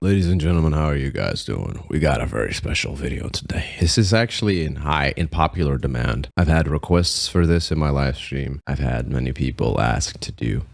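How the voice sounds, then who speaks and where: A man's voice: a bass voice, animated, fairly quick, creaky, hardly any pauses, soft background music. A young man from Belgium with an American-sounding accent, rambling and correcting himself as he hosts a casual reaction video. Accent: American-sounding, picked up from rap. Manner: rambling, self-correcting, slangy.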